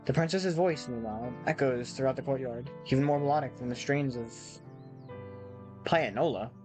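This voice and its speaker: Casting: amateur game narrator, mid pitch, expressive storybook reading with offhand asides, music underneath. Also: moderate pace.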